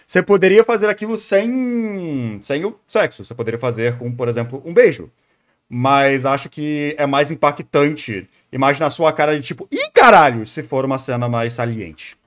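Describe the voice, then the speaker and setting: A man's voice: on the high side, lively intonation, moderate pace. A Brazilian man chatting casually and informally as a live streamer, rambling through his commentary. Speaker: live streamer reacting to a video. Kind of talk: casual livestream chat and commentary.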